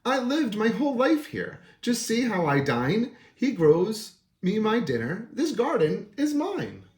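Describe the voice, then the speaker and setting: A man's voice: high-pitched, wildly swooping pitch, measured pace, darkish tone, voice pushed up, heavily acted. A man doing an indignant little rabbit. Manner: protesting, pleading. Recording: roomy echo.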